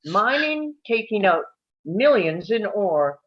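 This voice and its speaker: A woman's voice: mid-range voice, very animated, takes it slowly. A woman reading scripted narration aloud at a live table read, telling it like a story and now and then stumbling over a word. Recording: dull, lo-fi sound.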